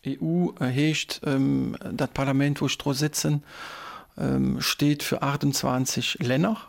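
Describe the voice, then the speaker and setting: A man's voice: mid-range voice, flat delivery, unhurried, gravelly. A man in his thirties from German-speaking Belgium, a politician guest on a radio show, speaking an East Belgian German dialect and explaining step by step with many hesitation sounds.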